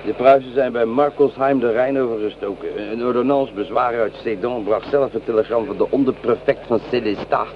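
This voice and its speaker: A man's voice: mid-range voice, a little fast, few pauses, natural voice. A man playing a soldier who reports war news in a Dutch radio drama. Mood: grave reporting. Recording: tinny, noisy radio play recording.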